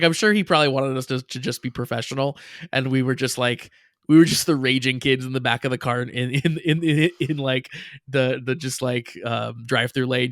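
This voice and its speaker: Young man, podcast co-host, casual rambling chat, mid-pitched, dry close recording. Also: fairly bright tone, no room sound. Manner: rambling, many restarts and "like" fillers, laughs often.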